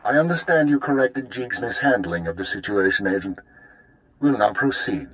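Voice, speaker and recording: An actor playing a cool, commanding intelligence handler in a video game, formal, clipped and authoritative. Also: male voice, lowish voice, animated, normal speed, fairly bright tone, poor audio.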